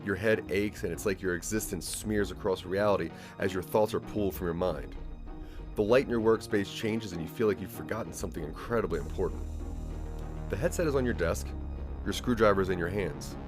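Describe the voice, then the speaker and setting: A man's voice: low-pitched, fairly quick, over music. A man narrating a scripted audio drama in the second person, as a storyteller addressing the listener.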